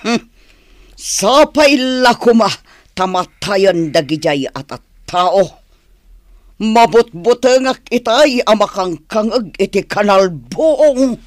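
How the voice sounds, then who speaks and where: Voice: medium pitch, lively intonation, slow pace, no echo, studio quality. An actor with an Ilocano accent playing a villain boss's fretful, clinging sweetheart in a radio drama. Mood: anxious.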